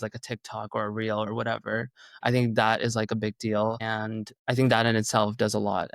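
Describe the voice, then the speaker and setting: A man's voice: medium pitch, a bit bright, smooth, dry recording. A man in his twenties, whose first language is Urdu, answering interview questions in a casual, upbeat way, with lots of "like" fillers. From Canada. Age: 20s. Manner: chatty, heavy "like" fillers, upbeat. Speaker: musician guest.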